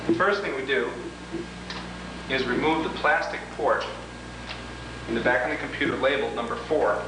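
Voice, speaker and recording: A man's voice: mid-range voice, normal speed. A man presenting an instructional product demonstration, explaining each step methodically in formal phrasing. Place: indoors, in a room with equipment. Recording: echoey room, clearly noisy old home video.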